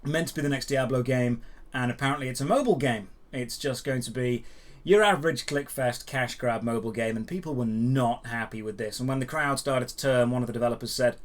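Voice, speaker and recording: A man hosting a news show, giving opinionated commentary straight to viewers with emphatic phrasing and dramatic pauses. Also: mid-range voice. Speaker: host of a daily gaming news show.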